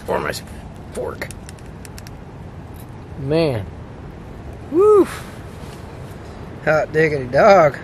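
A man's voice: a little high, wildly swooping pitch, drawn-out words, long pauses. A man in his thirties vlogging while camping in a tent in the woods at night, exclaiming and joking in a casual way. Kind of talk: casual solo vlog narration. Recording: wind and outdoor noise behind.

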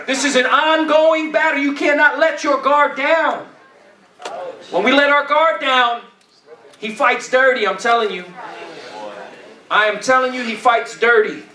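A man's voice: very high-pitched, measured pace, long pauses, bright, forceful tone. A middle-aged man preaching a sermon in colloquial, repetitive phrases, exhorting his congregation and punctuating points with "amen?". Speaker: pastor preaching. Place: church stage, indoors. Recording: echoey church, distant camera pickup.

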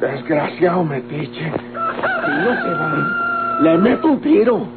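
Through a tinny old radio recording with music behind, a paranoid, ill-tempered Mexican man. His voice is pitched high and his speech is gruff and rustic, full of threats, in classic radionovela style. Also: wildly swooping pitch, slow pace, heavily acted. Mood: threatening, furious. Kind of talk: golden-age Mexican radionovela acting.

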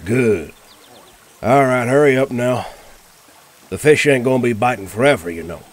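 A man playing a gruff, bossy, secretly soft-hearted grump in a roleplay audio drama, grumbling and curt. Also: medium pitch, expressive, normal speed, slight background noise, lightly acted.

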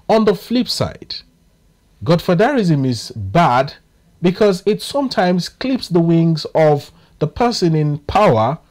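A man in his thirties with a Nigerian English accent, hosting a studio interview and posing measured questions. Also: on the high side. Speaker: TV host.

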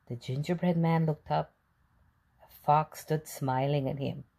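A woman narrating a children's picture book as a read-aloud storyteller, in an expressive, deliberate manner. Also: deep voice, gravelly.

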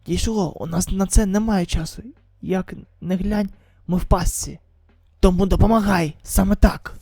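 A woman's voice: mid-range voice, normal speed, warm tone, no room sound. A woman reading a manga as a dramatic voice-over. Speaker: voice-over reader.

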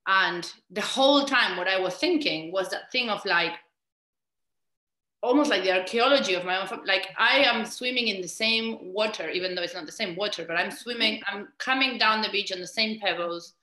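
A woman's voice: mid-range voice, normal speed, sharp tone, roomy sound, low-quality recording. A woman in her thirties from Argentina, hosting a panel over a video call from home and talking thoughtfully, searching for words. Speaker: host, moderator. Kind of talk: conversational panel discussion. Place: home room with bookshelves.

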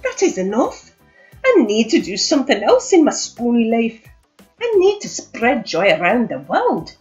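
A woman plays a heroic young wooden spoon from a storybook, rallying his friends with earnest speeches. The pitch swings widely, and music plays under a slightly muffled recording. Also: huge pitch swings, measured pace, darkish tone. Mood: determined.

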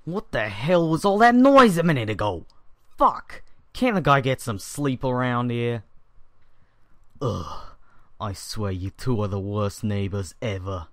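A man's voice: mid-range voice, expressive, unhurried, no room sound, clean studio sound, lightly acted. A man voicing a grumpy, surly, sleep-deprived cartoon neighbor, grumbling irritably. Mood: irritated.

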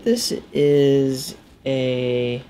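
A man in his thirties giving casual gameplay commentary, halting and muttering to himself as he names his discoveries. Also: mid-range voice, fairly even intonation, mellow, a little hiss or hum. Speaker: gaming video host. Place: small indoor room at a computer.

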